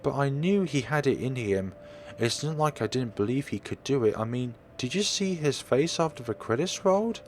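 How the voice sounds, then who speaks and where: Man voicing an eager, higher-pitched young woman friend. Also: expressive, normal speed, darkish tone, a little hiss or hum, lightly acted. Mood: enthusiastic. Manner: hesitant, stammering, eager babbling. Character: Isabel, chatty, earnest, clumsy young woman friend.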